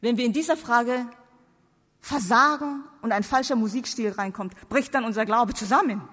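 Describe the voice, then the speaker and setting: A woman speaking German as a non-native language delivers a theological sermon. Her voice sits fairly high, and she speaks emphatically, posing rhetorical questions. The sound carries the long reverb of a large hall. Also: moderate pace, fairly bright tone. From outside Germany. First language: not German. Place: large reverberant hall before seated audience.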